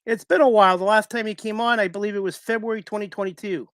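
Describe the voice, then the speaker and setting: A man's voice: high-pitched, fairly even intonation, fast talker, fairly bright tone, no echo, studio quality. A man hosting a sports talk radio show, introducing and chatting with his guest.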